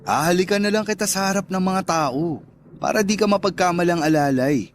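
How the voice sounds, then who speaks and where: An actor playing a smooth-talking, indulgent restaurant owner courting his lover in a radio drama, casual and coaxing. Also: high-pitched, expressive, brisk, a little hiss or hum. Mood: flirtatious, playful. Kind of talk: Tagalog radio drama dialogue. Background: background music.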